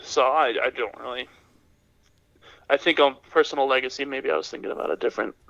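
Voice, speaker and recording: A man with a raspy voice from being sick, chatting casually and hesitantly as a podcast guest, with dry, self-deprecating humor. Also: medium pitch, fairly even intonation, a little fast, fairly bright tone, dry recording, lo-fi sound. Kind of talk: casual chat, reflective.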